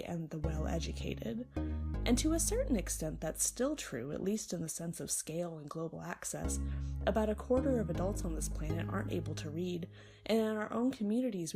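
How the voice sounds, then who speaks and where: A woman reads a scripted video essay in a conversational, emphatic way, with wry, informal asides. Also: fairly low pitch. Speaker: library student presenting a book review. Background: background music.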